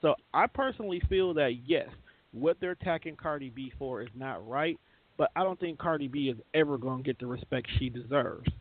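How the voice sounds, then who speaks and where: A man's voice: mid-range voice, a little fast. Man debating over a thin phone-like line. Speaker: radio show co-host. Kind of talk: casual opinionated talk.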